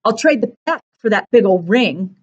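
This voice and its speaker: A woman's voice: medium pitch, animated, moderate pace, husky, muffled recording. A woman voicing a smug teenage boy bully in an audiobook, with a sneering, mocking manner. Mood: taunting.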